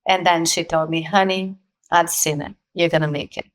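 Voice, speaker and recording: A woman in her forties from Brazil, speaking English with a Brazilian Portuguese accent as a guest in an interview, telling her story with lively, laughing energy. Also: lowish voice, moderate pace, low-quality recording. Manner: animated storyteller, laughs often, acts out quoted voices. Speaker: guest, actress. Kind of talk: casual interview conversation.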